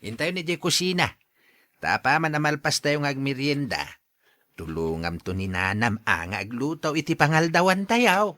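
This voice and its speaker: A man's voice: a little high, sing-song, normal speed, bright, forceful tone, no room sound, studio quality. A man acting a blunt, matchmaking older father in a radio drama, with an Ilocano accent. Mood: easygoing.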